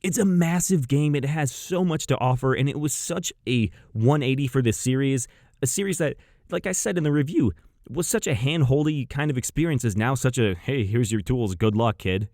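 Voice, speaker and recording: A man's voice: medium pitch, dark tone, dry recording, studio quality. An American man hosting a game review channel, chatting casually and unscripted to viewers with gushing enthusiasm.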